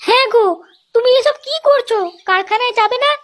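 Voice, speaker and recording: A squeaky cartoon housewife, pitched extremely high and speaking. She nags in plaintive questions, with faint noise behind her. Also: fairly quick. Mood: reproachful. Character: shrill nagging cartoon housewife. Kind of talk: comic cartoon dialogue.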